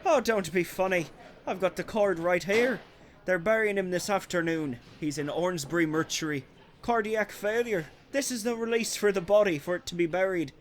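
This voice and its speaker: A jovial police constable, played by a man with a notably high voice, in a radio drama. He is chatty and scoffing, amused by what he is told, with faint noise behind him. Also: moderate pace, lightly acted.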